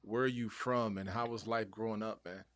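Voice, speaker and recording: A man's voice: lowish voice, steady tone, normal speed, fairly bright tone. A man hosting a podcast interview, asking questions in a laid-back, slangy way. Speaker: podcast host. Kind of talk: casual interview.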